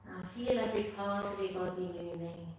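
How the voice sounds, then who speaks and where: A woman instructor leads a live tai chi class, giving calm, measured step-by-step cues and repeating her phrases. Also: lowish voice. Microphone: far from the speaker. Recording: distant mic in echoey room, faint noise.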